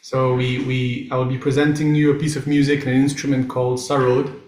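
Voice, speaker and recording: A man's voice: medium pitch, a little mellow. A man from Switzerland politely introducing himself as a guest presenter over a video call. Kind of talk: brief self-introduction. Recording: echoey room.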